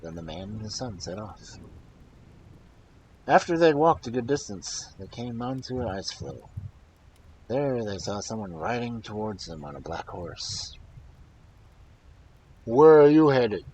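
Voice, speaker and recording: Low-pitched, animated male storyteller. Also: a little hiss or hum, rough recording. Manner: animated, dramatic pauses and emphasis. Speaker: storyteller and host of a live reading stream. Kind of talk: expressive folktale read-aloud with casual asides.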